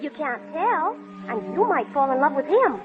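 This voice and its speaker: Confident teasing girlfriend, high brisk voice, 1930s radio drama, muffled with music. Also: big pitch swings.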